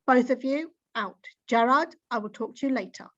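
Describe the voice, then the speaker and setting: A woman's voice: on the high side, moderate pace, distant mic, lo-fi sound, close to an everyday voice. Woman acting a stern, angry mother. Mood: firm, cross. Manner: stern, accusing. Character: angry, stern mother scolding her children.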